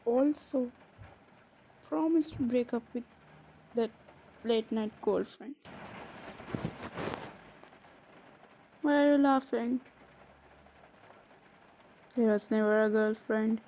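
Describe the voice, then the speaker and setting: A woman's voice: fairly high pitch, slow pace, pauses often, mellow, slight background noise, rough recording. A woman playing a shy, flustered young woman in an improvised intimate romantic audio roleplay, stammering and hesitating as she talks. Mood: shy, pleading. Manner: hesitant, stammering, breaking off and repeating words.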